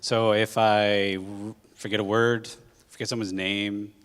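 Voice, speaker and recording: Man from Hawaii, low voice, casual guest-speaker talk full of fillers, jet-lagged, lingering hall reverb. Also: flat delivery, a little slow, a bit bright, clear, resonant tone. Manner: conversational, with many filler ums and uhs. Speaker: guest speaker, corporate general manager. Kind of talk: casual public talk to students.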